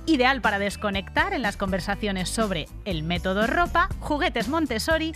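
A woman with a Spain accent voicing a mock-earnest female infomercial announcer, emphatic and deliberate, in a radio parody. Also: big pitch swings, fairly bright tone, soft background music. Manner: emphatic, deliberate sales pitch.

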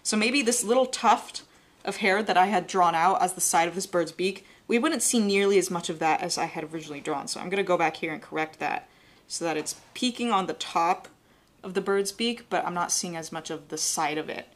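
A woman's voice: on the low side, brisk. A young woman with a Southern American accent, an art instructor talking step by step through a live drawing demonstration in a studio, often correcting her own words as she sketches. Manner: relaxed, explains step by step while sketching, self-correcting. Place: studio with an overhead desk camera.

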